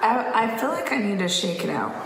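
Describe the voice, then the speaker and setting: Hoarse woman chatting on a morning show. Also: medium pitch, big pitch swings, fast talker, background noise. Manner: chatty, rambling storyteller. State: losing her voice. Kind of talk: casual morning show chat. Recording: echoey room with long reverb.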